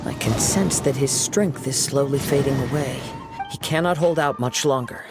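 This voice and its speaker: A man playing a calm, poetic young wandering swordsman in an anime game, soft-spoken and measured. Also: mid-range voice, music in the background, close to an everyday voice. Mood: composed.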